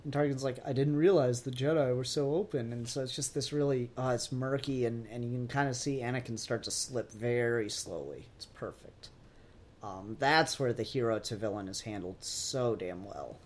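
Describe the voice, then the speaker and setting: A man's voice: medium pitch, normal speed, a little hiss or hum. A man talking casually as a podcast host, opinionated and emphatic, swearing freely. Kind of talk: casual pop-culture podcast discussion.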